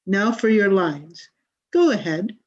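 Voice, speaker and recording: Through a dull video-call recording comes a grandiose female drama coach, acted by a woman. She drags out her emphatic instructions in a theatrical radio-comedy manner, with a husky voice that swings widely in pitch. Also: sing-song. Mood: exacting, dramatic. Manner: theatrical, emphatic, drawn-out delivery. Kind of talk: 1940s radio comedy acting.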